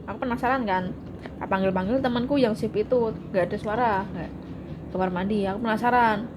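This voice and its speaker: A woman's voice: mid-range voice, fairly quick. A woman, casually telling a ghost story and joking around. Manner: chatty, jokes around between spooky anecdotes. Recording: noisy room recording.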